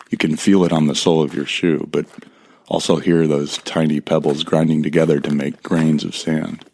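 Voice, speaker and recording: Very deep male voice, creaky, wary reflective narrator, measured storytelling, audio drama, cricket-like night ambience. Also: darkish tone, slight background noise. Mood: ominous.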